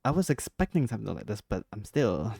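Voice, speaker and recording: A man's voice: fairly low pitch, sing-song, fairly quick, vocal fry, warm tone, dry recording, studio quality. A man doing casual gameplay commentary, murmuring exclamations and repeating his words as he reacts. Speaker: gameplay streamer.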